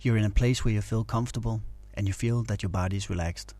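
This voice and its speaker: A man narrates a guided meditation in a soft-edged, very mellow voice. His intonation stays flat and soothing as he gives calm instructions. The sound is close and very dry. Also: lowish voice, fast talker, dry recording.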